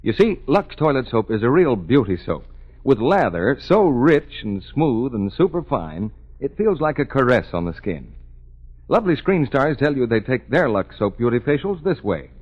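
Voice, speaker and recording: A male 1940s radio announcer with a medium-pitched, slightly husky voice and lively inflection. He delivers a polished, persuasive sales pitch. The vintage recording sounds dull. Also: normal speed.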